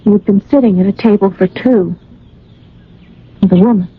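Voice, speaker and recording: A woman's voice: mid-range voice, moderate pace, breathy edge. An actress playing a lovesick, dreamy young woman in a radio drama, breathless and pleading. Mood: distressed, insistent. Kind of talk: radio drama acting with narration. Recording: tinny old radio recording with music.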